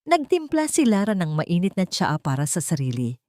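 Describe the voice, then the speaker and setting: A woman with a Filipino accent narrating a scripted romantic drama in an expressive, emotive storytelling manner. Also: fairly low pitch, normal speed, no room sound, studio quality.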